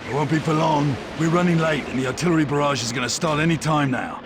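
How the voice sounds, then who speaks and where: An actor playing a seasoned British army sergeant with a British accent, giving brisk commanding orders in a game. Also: male voice, on the high side, background noise, close to an everyday voice. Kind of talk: video game cutscene acting. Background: loud war music and gunfire.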